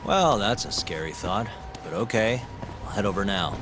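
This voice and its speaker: A man acts as a nonchalant American detective in a video game. His voice is on the low side, dry and casual, with clear music underneath. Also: lively intonation, normal speed, lightly acted. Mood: confident. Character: cool, nonchalant male private detective.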